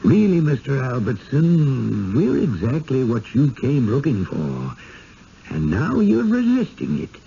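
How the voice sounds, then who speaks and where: An actor in a 1970s radio drama playing an elderly, genteel innkeeper, measured, persuasive and quietly firm. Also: male voice, mid-range voice, wildly swooping pitch, creaky, exaggerated voice. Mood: calmly persuasive. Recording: dull, noisy old radio broadcast.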